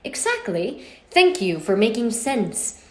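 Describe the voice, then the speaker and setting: Woman putting on a fussy female coworker, bossy, curt, insistent, storybook reading, raised pitch, roomy echo. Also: sing-song, slow, darkish tone. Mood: indignant. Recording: roomy, echoing space.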